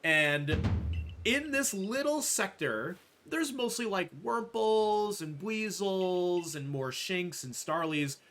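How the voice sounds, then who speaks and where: A man's voice: sits high, lively intonation, slow pace, fairly bright tone, a little hiss or hum. A man giving casual, explanatory speedrun commentary full of gamer jargon while he plays. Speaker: speedrunner playing and explaining the run.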